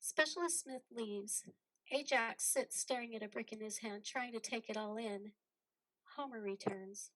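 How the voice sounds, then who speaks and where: Bright, dry-sounding woman narrator. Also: fairly high pitch, a little slow, no echo, rough recording. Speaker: narrator reading stage directions. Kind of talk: scripted narration of a play.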